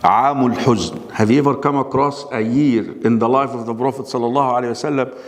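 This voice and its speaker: A man's voice: medium pitch, steady tone, brisk. Male lecturer in a reverberant hall. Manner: keeps quizzing the audience with questions. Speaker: Islamic lecturer. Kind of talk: classroom lecture.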